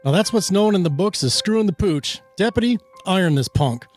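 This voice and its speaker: A bullying small-town sheriff read by a man for an amateur radio drama. His voice sits a bit high for a male, yet he is gruff, impatient and sarcastic, with light music underneath. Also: expressive, brisk. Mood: wry, dismissive. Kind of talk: amateur radio play reading.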